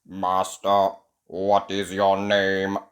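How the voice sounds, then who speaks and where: A woman's voice: very deep voice, completely flat delivery, extremely slow, voice pushed down, heavily acted. A woman voicing an obedient, literal-minded toy robot with stiff, formal speech in a children's storybook. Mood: solemn, dutiful.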